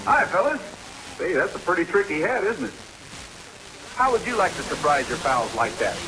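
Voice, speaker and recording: An actor playing a chummy, eager pitchman selling toys to boys in a vintage radio commercial. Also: normal speed, rough recording. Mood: chummy, excited. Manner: excited sales patter. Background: loud music.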